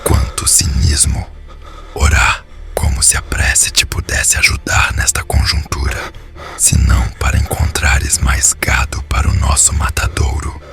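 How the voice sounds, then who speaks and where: A man voicing a cruel, mocking tormenting spirit in a whispery, gravelly audiobook villain voice. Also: a bit bright, close to an everyday voice. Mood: scornful menace. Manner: slow, mocking, menacing. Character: cruel male tormenting spirit, mocking sadistic slave-driver. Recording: background music.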